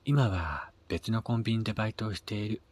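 A man with a husky voice narrating a scripted first-person radio drama, his phrase endings trailing into a breathy near-whisper. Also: on the low side, fairly quick, a little mellow. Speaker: reader of own short story.

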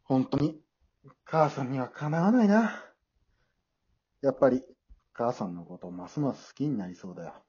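A man's voice: medium pitch, big pitch swings, moderate pace, pauses often, mellow, slightly rough, lo-fi sound. A man acting in an amateur radio drama as an awkward, remorseful middle-aged father, hesitant and easily flustered. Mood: remorseful, subdued.

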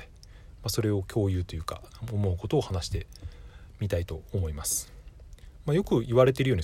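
A man's voice: fairly low pitch, a little mellow, slightly rough. A man hosting a podcast solo, talking casually and thinking aloud with frequent self-corrections. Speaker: podcast host.

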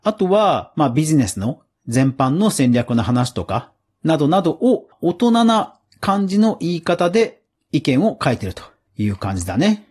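A man with a fairly high, husky voice talks through the news as a solo podcast host. His intonation moves around a lot, while the tone stays mellow. He explains things conversationally and casually. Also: sing-song, normal speed.

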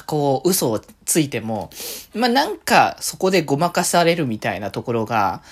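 Female talk host, very deep register, animated melody, giggly, informal and rambling delivery. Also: moderate pace, husky. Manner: often giggles while talking. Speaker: solo talk show host. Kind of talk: casual rambling solo chat to listeners.

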